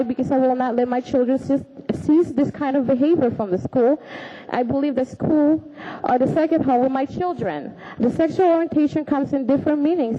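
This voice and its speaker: A woman speaks at a podium, earnest and halting as she reads her prepared words. Her voice sits a little high. The recording is muffled and lo-fi. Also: dark tone. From Alaska, raised in the Philippines. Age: 20s. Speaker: public commenter. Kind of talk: reading a prepared statement. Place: city assembly chamber. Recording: dull lo-fi meeting recording.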